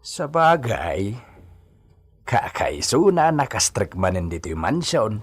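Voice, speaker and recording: A grumbling, doubtful household man in a radio play, voiced by a male actor. His voice is very low and husky, and he drawls his lines out slowly and skeptically. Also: sing-song, heavily acted. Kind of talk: Ilocano radio drama acting.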